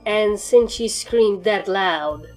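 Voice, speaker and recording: A woman's voice: mid-range voice, takes it slowly, faint music behind, natural voice. A woman voicing an anxious pony with a dry, wry manner in a fan fiction story reading. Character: anxious, sarcastic pony with a wary streak.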